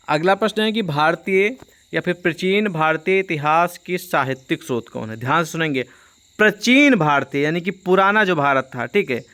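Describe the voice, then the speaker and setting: A man's voice: a little high, a bit bright. A man teaching an informal exam-prep lesson, explaining by repeating and rephrasing, with frequent check-in tags. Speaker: online history teacher.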